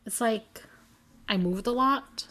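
A woman's voice: a little high, slow, a bit bright. A young adult woman co-hosting a podcast, chatting casually, rambling and correcting herself with frequent giggles. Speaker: podcast co-host. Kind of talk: casual chat.

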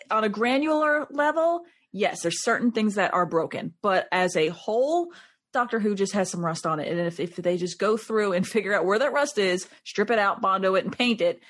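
A woman guest on a casual podcast panel, chatty and loose, with frequent ums. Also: mid-range voice. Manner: chatty, uses fillers like um and uh.

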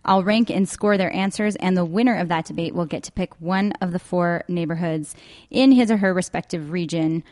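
Woman, podcast host, somewhat low pitch, chatty, lots of ums. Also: normal speed, darkish tone. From Denver. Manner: chatty, many ums and uhs. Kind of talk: casual podcast chat. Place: downtown clock tower.

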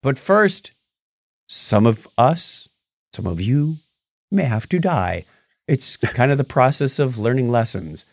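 A man's voice: mid-range voice, takes it slowly, no echo. A man co-hosting a podcast, chatting casually with a joking, playful manner. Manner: joking, lively, playful asides. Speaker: podcast co-host. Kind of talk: casual banter.

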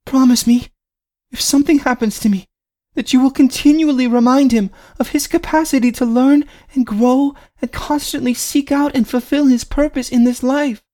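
A man's voice: very high voice, fairly even intonation, moderate pace, darkish tone, voice pushed up. A man voicing a devoted, pregnant young wife who speaks earnestly and pleads with her husband. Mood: pleading.

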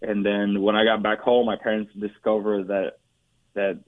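A low, flat-voiced man from Puerto Rico in his forties tells his life story as an interview guest. He speaks haltingly, restarting sentences and leaning on fillers. The sound is dry and tinny, like a phone line. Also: no room sound. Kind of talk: personal testimony interview.

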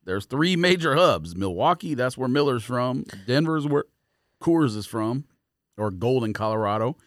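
A man's voice: mid-range voice, lively intonation, normal speed, dry recording, studio quality. A man co-hosting a podcast, explaining his points in casual, informal sports conversation. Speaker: podcast co-host.

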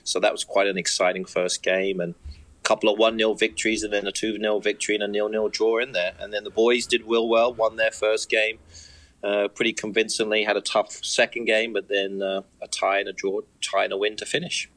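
Guy talking soccer on a podcast, bright punchy voice, kinda low, jokes about himself. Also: flat delivery, a little fast, poor audio. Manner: upbeat, chatty, self-mocking. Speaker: soccer coaching director. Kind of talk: casual sports chat.

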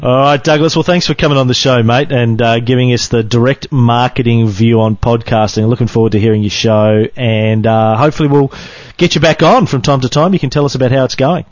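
A man's voice: medium pitch, brisk, dry recording, lo-fi sound. A man with an Australian accent hosting a podcast, asking direct, blunt questions in a casual chat. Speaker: podcast host.

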